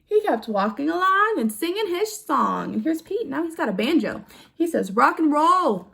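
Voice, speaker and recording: A woman reading a picture book aloud to young children in an animated way, putting questions to her listeners. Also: high-pitched, normal speed, gravelly. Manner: animated, with gasps and exclamations. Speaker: storybook reader for young children.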